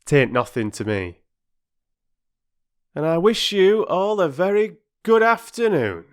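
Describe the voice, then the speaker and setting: A man's voice: high voice, big pitch swings, slow pace, smooth, voice pushed up, exaggerated voice. A man voicing a huffy working-class railway porter who talks in a lofty, sulky way with clipped dialect speech.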